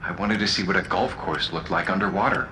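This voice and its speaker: A deep-voiced, cunning, theatrical convict. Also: male voice, slight background noise. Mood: reflective. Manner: theatrical, deliberate, mocking, swinging between calm and outburst. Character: cunning, taunting telepathic male convict pleading his appeal. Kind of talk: audio drama acting.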